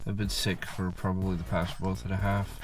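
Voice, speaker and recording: A congested man hosting a car repair channel, giving a relaxed, casual how-to walkthrough full of fillers while working on a car. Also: low-pitched, fairly quick. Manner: relaxed, filler-heavy explaining.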